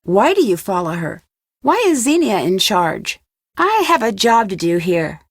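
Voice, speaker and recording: A woman's voice: mid-range voice, sing-song, unhurried, dry recording, studio quality. A woman playing a curious, composed young female detective in an adventure game, asking direct and unflinching questions. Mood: probing, composed.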